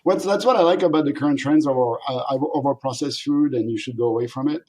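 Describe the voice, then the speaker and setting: A man's voice: medium pitch, fast. A middle-aged man from France with a French accent, a company founder talking animatedly in a casual interview and laughing often. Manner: animated, laughs often, acts out quoted voices.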